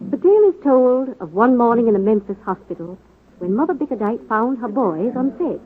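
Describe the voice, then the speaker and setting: A woman's voice: fairly high pitch, sing-song, moderate pace, husky. A woman narrating a scripted historical radio program in dramatic 1930s-40s style. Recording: tinny old radio recording. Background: music.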